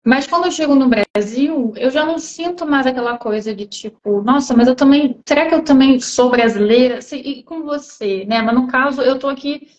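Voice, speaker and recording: A woman's voice: high-pitched, normal speed, hardly any pauses, dull audio. A woman with a Brazilian accent hosting a casual live stream interview, chatty and full of tag questions. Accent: Brazilian Portuguese.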